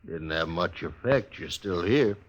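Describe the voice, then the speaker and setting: An American actor playing a lonely, resigned stage station keeper of few words in a 1950s Western radio drama. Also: male voice, low voice, animated, unhurried. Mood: guarded. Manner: laconic, plainspoken. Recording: faintly noisy old radio recording.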